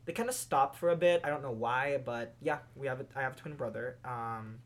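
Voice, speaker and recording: A young man in his early twenties from the Philippines co-hosting a chat podcast. His voice is mid-pitched and even. He talks in a relaxed, friendly way, with plenty of "like" and "um". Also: brisk. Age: 20s. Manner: relaxed, friendly, many fillers. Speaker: podcast co-host. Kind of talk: casual chat.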